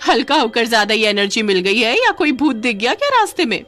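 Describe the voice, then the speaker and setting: A woman's voice: on the high side, animated, brisk, fairly bright tone, soft background music, pitched above the performer's own voice. A woman voicing an exasperated village wife with a scolding, exclamatory manner in a comic story. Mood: alarmed, curious. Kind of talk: Hindi comic story acting.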